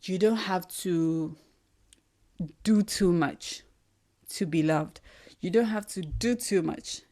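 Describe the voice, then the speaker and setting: A young woman with a Ghanaian accent hosting a solo podcast, talking in a thoughtful, earnest way and pausing to find her words. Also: fairly low pitch, mellow. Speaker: podcast host. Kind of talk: reflective solo podcast monologue.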